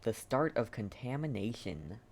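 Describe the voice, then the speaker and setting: A woman's voice: a bass voice, big pitch swings, measured pace, a little mellow, a little hiss or hum. A woman giving casual live game commentary as a streamer, laid-back and often reacting in brief murmurs.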